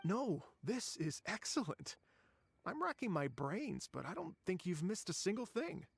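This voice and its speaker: An actor playing an earnest, grateful gentleman musician in an anime game, polite and heartfelt. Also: high voice, big pitch swings, a little slow, vocal fry, breathy edge, heavily acted. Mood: agreeable.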